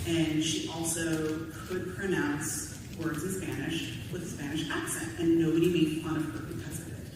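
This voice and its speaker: A woman panelist giving candid, informal personal commentary at a convention panel, talking in a halting way with asides. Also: deep voice. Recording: echoey hall, distant mic, clear background noise.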